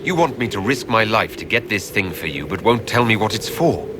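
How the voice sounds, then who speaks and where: Direct low-voiced game hero. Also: male voice, very animated, brisk, background noise. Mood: direct, questioning. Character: plain-spoken heroic inquisitor, adult male leader. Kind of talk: video game voice acting.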